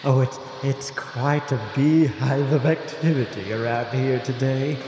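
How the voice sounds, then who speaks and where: A man playing an obliging, rambling old morgue attendant who tells tales in a halting, folksy radio-play manner. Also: medium pitch, fairly even intonation, darkish tone, muffled recording, a little hiss or hum, close to an everyday voice. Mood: welcoming, rambling. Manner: halting, folksy, eerily courteous. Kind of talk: theatrical old-time radio storytelling. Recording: echoey hall reverb, music behind.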